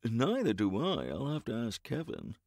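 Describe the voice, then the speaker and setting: Actor as flamboyant millionaire superhero, wildly swooping delivery. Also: male voice, mid-range voice, huge pitch swings, moderate pace, darkish tone, dry recording, studio quality. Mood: breezy self-importance. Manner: theatrical, grandiose. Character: flamboyant, self-important millionaire superhero man, cheerful and clueless. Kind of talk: comedic audio drama acting.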